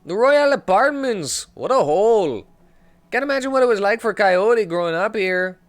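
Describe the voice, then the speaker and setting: Man voicing an urgent male sidekick; high, earnest, exaggerated accent. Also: expressive, normal speed. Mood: urgent worry. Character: worried young male companion with put-on accent.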